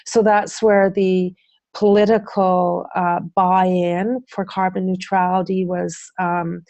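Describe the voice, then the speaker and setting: Woman, webinar presenter, low voice, flat intonation, hesitant with fillers. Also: slow pace, no room sound, lo-fi sound. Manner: hesitant, many ums and uhs, restarts. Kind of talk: answering audience questions in a webinar.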